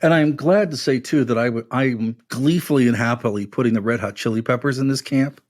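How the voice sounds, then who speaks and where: A man's voice: mid-range voice, brisk. A man co-hosting a music podcast, talking casually and giving blunt, wry, emphatic opinions.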